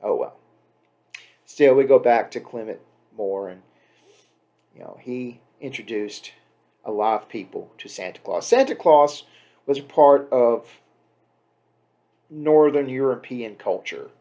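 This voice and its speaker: A man hosts with an informal, unscripted introduction. His voice sits at an average pitch. He talks chattily, leaning on key words and dropping in wry asides. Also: a little slow, long pauses, warm tone. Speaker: host introducing a poem reading. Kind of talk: casual off-the-cuff spoken introduction.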